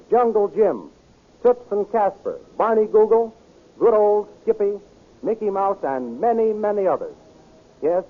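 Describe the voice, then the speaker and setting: High-voiced 1930s radio announcer. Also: male voice. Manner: brisk, enthusiastic, promotional. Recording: tinny 1930s radio transcription with hiss.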